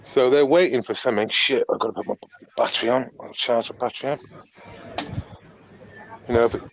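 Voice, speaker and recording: A man's voice: mid-range voice, steady tone, brisk, dull audio. Rambling Welsh man commenting live. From Wales. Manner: rambling, crude, sarcastic asides. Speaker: live stream host. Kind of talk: casual live commentary on riot footage.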